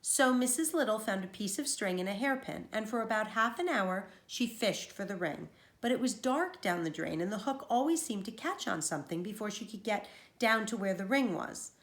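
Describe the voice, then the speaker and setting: A woman's voice: mid-range voice, lively intonation, normal speed, hardly any pauses, roomy sound. A woman teacher reading a children's storybook aloud, explaining things to young listeners as she goes.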